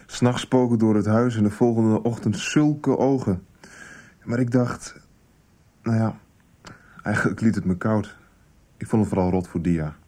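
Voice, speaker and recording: A hurt but caring household man in a nineties radio soap. His voice is mellow and a little low. He talks plainly, with some hesitation. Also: a little slow, pauses often. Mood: reproachful. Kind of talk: 1990s Dutch radio soap acting.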